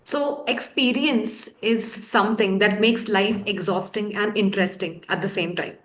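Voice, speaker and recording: A woman's voice: medium pitch, moderate pace, a bit bright, poor audio. A woman co-hosting a podcast, posing a question in a plain, composed way. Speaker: podcast co-host. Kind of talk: interview questions.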